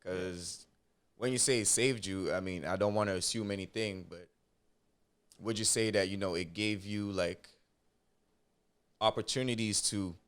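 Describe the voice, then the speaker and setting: Low smooth-voiced male podcast host. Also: steady tone, normal speed, pauses often. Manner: constant affirming backchannels, slangy. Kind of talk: casual podcast interview.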